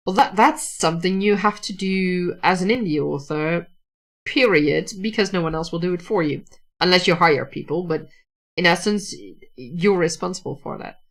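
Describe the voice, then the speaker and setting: A woman's voice: lowish voice, moderate pace, a bit bright. A Dutch woman speaking English as a second language, talking casually about writing on a livestream with many restarts and fillers. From Netherlands. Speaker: writer hosting a livestream.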